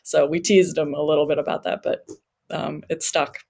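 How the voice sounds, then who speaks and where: A woman's voice: low voice, little pitch movement, moderate pace, gravelly, a little mellow. A woman speaking as an interview guest, telling personal stories conversationally and voicing remembered remarks as she goes. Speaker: interview guest, travel memoir author.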